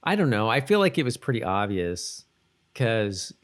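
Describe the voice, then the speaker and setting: A man's voice: on the low side, big pitch swings, moderate pace. A man co-hosting a podcast in casual chat, talking in a rambling, self-interrupting way. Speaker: podcast co-host. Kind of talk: casual chat reviewing a TV episode.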